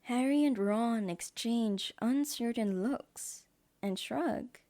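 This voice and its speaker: A woman's voice: a little high, slow pace, warm tone. A woman giving scripted narration for an audio drama as its storyteller.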